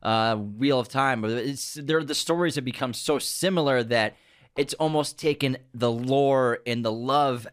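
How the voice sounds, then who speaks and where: A man's voice: mid-range voice, a little slow, clean tone. A man co-hosting a podcast, giving his opinions in casual discussion. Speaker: podcast co-host.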